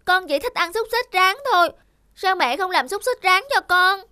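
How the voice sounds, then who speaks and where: Woman voicing a very high, plaintive little boy. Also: lively intonation, moderate pace, bright, forceful tone, no room sound, studio quality, exaggerated voice. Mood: sulky. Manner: childlike, plaintive. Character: spoiled, whiny little boy softening into remorse. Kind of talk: cartoon voice acting.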